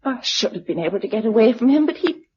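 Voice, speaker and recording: A woman's voice: a little high, lively intonation, fast, dark tone, a little hiss or hum, lightly acted. Actress as well-bred young Englishwoman, radio play, shaken and vulnerable, hesitant, swooping intonation, dull lo-fi sound. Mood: anxious recounting. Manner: genteel, hesitant, emotional. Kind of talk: radio drama acting. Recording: dull lo-fi radio drama recording.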